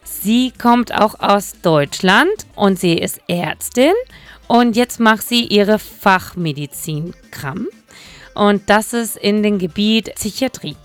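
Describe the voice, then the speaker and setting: A woman hosting a bilingual radio morning show, lively and teasing with her co-host and her guest. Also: mid-range voice, slow, soft background music. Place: radio studio.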